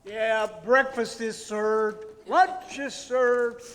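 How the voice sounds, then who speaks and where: An older actor in a live stage radio play, playing a cheerful, folksy uncle. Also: male voice, very high-pitched, steady tone, extremely slow, a bit bright, long reverb tail, heavily acted. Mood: jovial. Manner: folksy and jovial. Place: theater stage. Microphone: vintage stand microphone.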